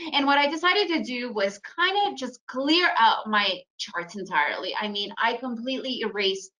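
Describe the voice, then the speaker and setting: Bright-voiced chatty woman presenter. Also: fairly high pitch, low-quality recording. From Ukraine, raised in the United States. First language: Russian. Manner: chatty, storytelling, addresses audience with "right?". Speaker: trading webinar presenter. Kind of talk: casual presentation.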